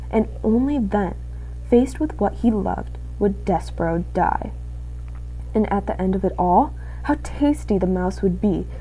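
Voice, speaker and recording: A young woman, a student, reading a storybook aloud in expressive scripted narration. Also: medium pitch, husky, rough recording. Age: young adult.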